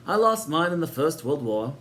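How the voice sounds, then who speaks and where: A man voicing a quick-witted old man with tin legs, dry and wry in a storybook reading. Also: fairly high pitch, very animated, a bit bright, slight background noise, voice pushed up.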